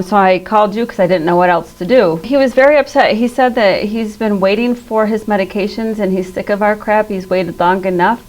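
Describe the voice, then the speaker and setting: A woman's voice: medium pitch, darkish tone, natural voice, rough recording. A woman playing an earnest, flustered medical office staffer in a training role-play, speaking politely with some hesitation. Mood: upset, explanatory.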